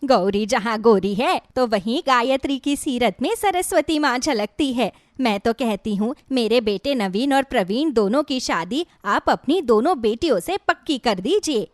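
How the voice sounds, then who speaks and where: A woman's voice: on the high side, lively intonation, a little slow, hardly any pauses, dry recording, studio quality. A woman voicing a proud, approving middle-aged mother-in-law in a moral storybook, speaking effusively. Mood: pleased, proud. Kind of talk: dramatized Hindi moral storybook.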